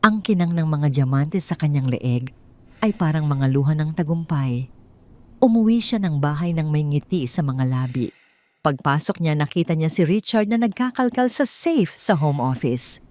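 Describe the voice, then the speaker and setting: A woman's voice: low voice, expressive, moderate pace, a little mellow. A woman with a Filipino accent narrating a scripted dramatic story.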